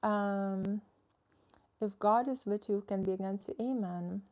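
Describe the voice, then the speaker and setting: A young woman, speaking at a middling pitch. She chats loosely and frankly with her viewers, dropping plenty of "like" and "right". She sounds like a coach talking off the cuff on a live stream. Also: steady tone, measured pace, a little mellow. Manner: chatty, frank, many fillers like "like" and "right". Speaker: social media creator and business coach. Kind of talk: casual live-stream chat answering viewer comments.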